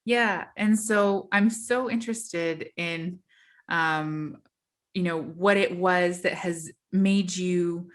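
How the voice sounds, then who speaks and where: A woman's voice: fairly low pitch, steady tone, takes it slowly. A woman hosting a podcast in a casual interview, asking long, rambling questions full of asides. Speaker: podcast host.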